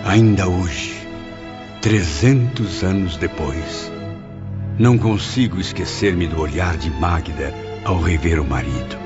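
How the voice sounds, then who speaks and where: A man's voice: low voice, slow pace. A man playing a cruel, tormented male spirit confessing his crimes, theatrical and bitterly mocking, in an audiobook drama. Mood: haunted remorse. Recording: muffled audio under loud music.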